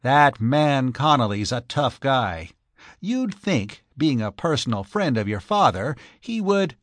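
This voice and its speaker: A man voicing an aggrieved, energetic music publisher who complains emphatically in an audiobook. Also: a little high, no echo, pitched above the performer's own voice, exaggerated voice. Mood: aggrieved insistence. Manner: emphatic, complaining, drawn-out stresses. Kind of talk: audiobook character voice.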